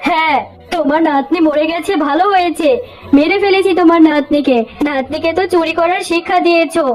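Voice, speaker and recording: A woman's voice: very high voice, brisk. A woman voicing a cruel, haughty tigress wife in a melodramatic cartoon, scolding and threatening with open contempt. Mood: menacing. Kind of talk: melodramatic cartoon story acting.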